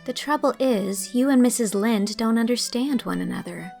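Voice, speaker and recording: A woman voicing an earnest, dreamy young woman in a storybook, with an expressive, gently scolding manner. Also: a little high, normal speed, creaky, soft background music, pitched above the performer's own voice. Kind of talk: audiobook character acting.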